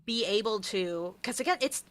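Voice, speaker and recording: A woman's voice: medium pitch, lively intonation, normal speed. A woman being interviewed as an author, talking candidly and emphatically in a conversational, storytelling way.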